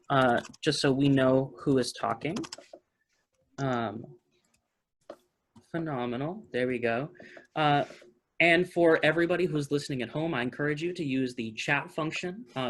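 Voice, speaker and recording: A man hosting a casual online panel, chatty and appreciative with his guests. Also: on the high side. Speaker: host of a talkback. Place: virtual meeting video call.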